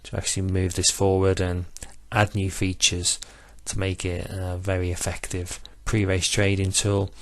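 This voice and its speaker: Low-voiced man, self-confessed monotone. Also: dry recording. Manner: self-described monotone, measured with filler pauses. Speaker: betting software demonstrator. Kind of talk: screen-recorded tutorial walkthrough.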